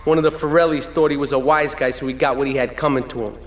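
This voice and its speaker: A man's voice: mid-range voice, steady tone, fast talker, echoey room, natural voice. A man playing a young mobster's son who hands out jobs offhandedly in a game cutscene. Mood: casual.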